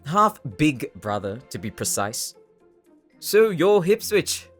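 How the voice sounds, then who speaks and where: A man playing a demon's polite, composed elder half-brother in an anime-style audio roleplay, speaking with smooth, precise courtesy. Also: fairly high pitch, sing-song, takes it slowly, fairly bright tone, faint music behind, heavily acted. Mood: smug, persuasive.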